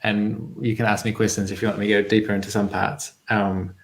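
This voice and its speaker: A man's voice: fairly low pitch, level tone, fairly quick, poor audio. A man in his thirties with a New Zealand accent, telling his own story as an interview guest in a reflective, searching way. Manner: reflective, hesitant, searching for words. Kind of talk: conversational personal storytelling.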